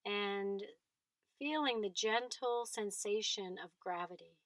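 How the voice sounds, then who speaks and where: A woman leading a guided body scan meditation, speaking in gentle short phrases with long pauses between them. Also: mid-range voice, no room sound.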